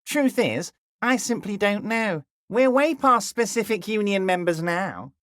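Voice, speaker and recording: An actor voicing a jovial, scheming middle-aged union boss in a video game, smooth and ingratiating, dropping low and soft on pet names, crude at times. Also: male voice, animated, unhurried, no echo, studio quality. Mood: smug, ingratiating. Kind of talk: video game character dialogue.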